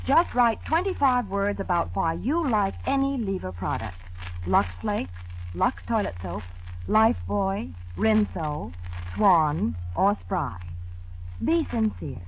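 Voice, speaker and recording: Animated American woman radio announcer. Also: medium pitch, slow. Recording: dull, faintly noisy old radio recording.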